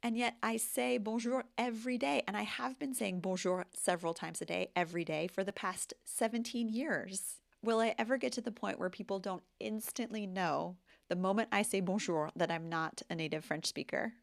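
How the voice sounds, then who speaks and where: A woman's voice: medium pitch, normal speed, vocal fry, hardly any pauses. A woman in her forties with an American accent, a language teacher talking candidly and with self-deprecating humor in a casual vlog. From United States. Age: 40s. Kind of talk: casual reflective vlog talk.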